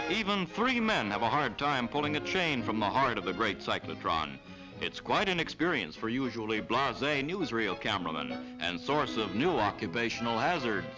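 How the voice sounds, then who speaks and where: An American man narrates a 1940s newsreel with music playing behind him. His mid-pitched voice moves up and down in a lively, jaunty announcer style. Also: rough recording.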